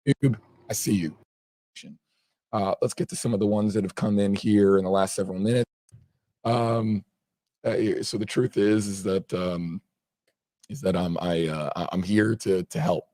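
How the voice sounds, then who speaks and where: Hoarse guy anchoring a live news stream, deep voice, stumbles along, very dry sound. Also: fairly even intonation, dark tone, no room sound. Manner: halting, repeats words. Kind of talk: informal live news coverage.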